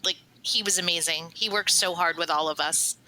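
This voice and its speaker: A woman's voice: fairly low pitch, normal speed, pushed and bright, low-quality recording. A woman chatting casually as a cast member on a podcast panel.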